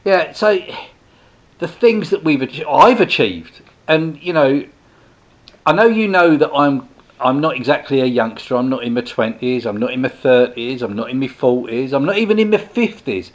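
A man's voice: medium pitch, expressive, moderate pace, lo-fi sound. A man, talking casually to viewers in a rambling, chatty way full of restarts and asides. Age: 60s. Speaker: host, direct sales business owner.

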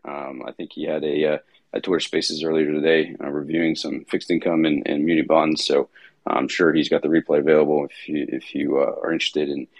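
Male host, very low husky voice, tired and rambling, casual delivery. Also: dry recording. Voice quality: raspy. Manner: rambling, heavy with fillers and restarts. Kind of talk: casual market-review livestream chat.

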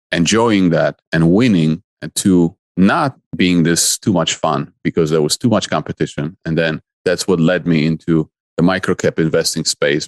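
A very deep-voiced man answers interview questions. He speaks earnestly, often stacking his ideas in short clipped phrases. The recording is close and dead, with no room sound. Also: dry recording. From Poland. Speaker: guest investor.

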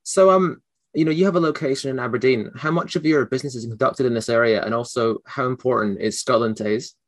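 A man's voice: medium pitch, fast, no echo. A man interviewing a guest in a conversational business style.

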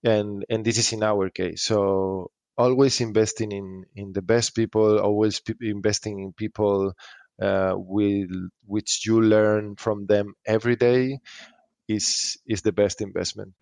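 A man answering interview questions as a startup founder, thinking aloud haltingly and repeating phrases. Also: lowish voice, little pitch movement, creaky, a little mellow, no echo. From Spain.

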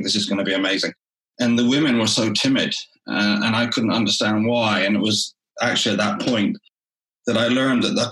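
Low-voiced man, echoey room. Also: a bit bright. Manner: thoughtful, restarts phrases often. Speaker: theatre director, interview guest. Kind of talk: relaxed conversational interview.

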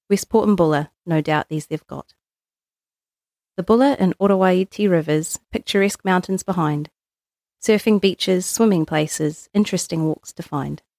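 A New Zealand woman reads verse aloud in measured phrases with small pauses. Her voice sits a little low, and the sound is dry and close. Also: no room sound. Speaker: guest poetry reader. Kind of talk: poetry reading.

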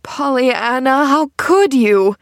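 Woman playing a scornful, indignant high-voiced aunt. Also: lively intonation, very slow, a little mellow, breathy edge, no echo, studio quality, heavily acted. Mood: mortified, indignant. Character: angry, mortified, stern aunt.

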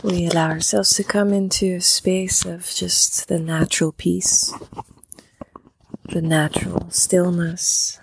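A woman leading a guided meditation, speaking calmly and gently in short phrases with long pauses. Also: lowish voice, vocal fry, background noise. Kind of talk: guided meditation, softly spoken instruction.

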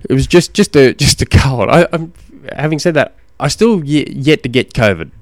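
A man hosting a casual solo podcast, slightly hoarse from a cold, rambling with many ums and restarts. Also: mid-range voice, lively intonation, normal speed, no room sound, studio quality. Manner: rambling, self-deprecating, lots of ums and restarts. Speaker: podcast host and cycling coach.

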